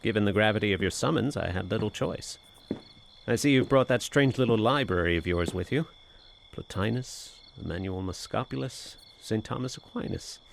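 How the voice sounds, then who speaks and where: An actor playing a skeptical, formal gentleman in a suspense radio drama, speaking in measured, literary phrases. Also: on the low side, vocal fry, slight background noise. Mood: curious, dry. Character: skeptical, formal gentleman summoned as friend and narrator. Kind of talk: old-time radio suspense drama acting.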